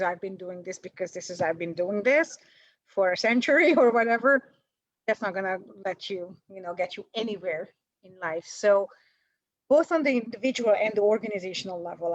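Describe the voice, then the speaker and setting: A woman's voice: mid-range voice, no echo, lo-fi sound. A woman in her forties with a Hungarian accent, talking as a guest in an interview, chatty and self-deprecating, with lots of fillers and restarts. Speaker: guest, paralegal. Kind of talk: interview over video call.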